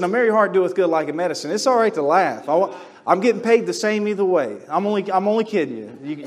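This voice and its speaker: Joking preacher with a high voice. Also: male voice. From North Carolina. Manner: joking, folksy, builds to loud emphatic storytelling. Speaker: guest preacher. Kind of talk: sermon. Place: church sanctuary.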